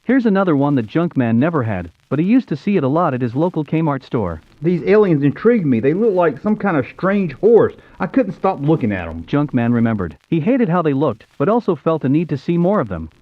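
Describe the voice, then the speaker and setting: A man's voice: a little high, sing-song, brisk. An American man in his fifties narrating nostalgically in a scripted storybook style. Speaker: YouTube show host. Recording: tinny phone-like narrow-band sound.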